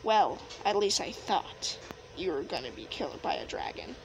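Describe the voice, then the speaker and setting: A woman playing a gentle, reminiscing mother in an improvised game roleplay, telling her story haltingly. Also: on the low side, expressive, background noise, pitched below the performer's own voice, lightly acted. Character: gentle, reminiscing mother of a young dragon tamer.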